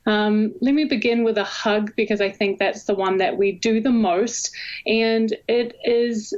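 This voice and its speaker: A woman's voice: medium pitch, steady tone, darkish tone, muffled recording. A woman in her thirties from New Zealand, with a New Zealand accent, explaining her research as a guest in a podcast interview. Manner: explanatory, measured, with occasional laughs. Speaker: guest researcher, assistant professor.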